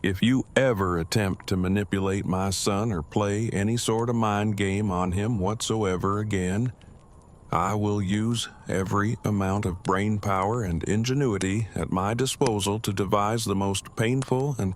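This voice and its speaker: A man's voice: on the low side, normal speed, a little hiss or hum, natural voice. A man playing an earnest, brainy, protective father in an audio drama, speaking in formal, wordy, precise sentences. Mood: stern warning.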